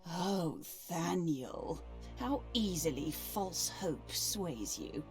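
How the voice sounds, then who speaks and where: An actress playing a mocking female warlord villain in a video game, with a husky voice and a sardonic drawl. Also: on the low side, very animated, extremely slow, a little mellow, soft background music, exaggerated voice. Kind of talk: video game cutscene acting.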